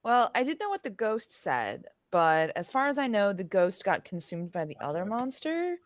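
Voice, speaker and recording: A woman playing in a tabletop role-playing game over a voice call, deliberate and explaining. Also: mid-range voice, sing-song, dry recording, clean studio sound. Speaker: player. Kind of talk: casual tabletop game chat.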